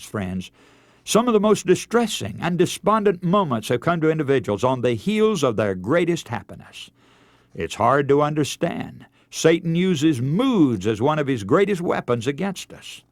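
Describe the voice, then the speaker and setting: Radio preacher with sweeping, expressive pitch. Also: male voice, dry recording, studio quality. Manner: earnest, measured oratory with rhetorical questions. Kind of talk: radio sermon, scripture reading and exhortation.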